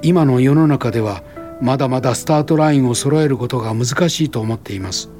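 An actor plays a gently persuasive man who founds schools, in a radio drama. He speaks earnestly and deliberately in a mid-pitched voice. Light music plays underneath. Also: natural voice. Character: idealistic, gently persuasive male school founder, about sixty.

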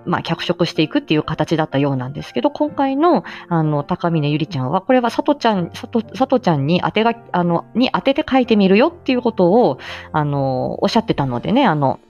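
A woman's voice: lowish voice, very animated, fast, a little mellow, dull audio, faint music behind. A woman chatting casually and reflectively on a livestream, self-effacing and hesitant, often trailing off mid-phrase. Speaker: amateur voice drama actor and livestream host.

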